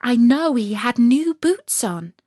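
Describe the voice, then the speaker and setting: A woman voicing an eager, loyal little girl in a storybook, exclaiming earnestly and excitably. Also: fairly high pitch, takes it slowly, no echo, studio quality, pitched above the performer's own voice, full character voice. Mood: earnest, hopeful.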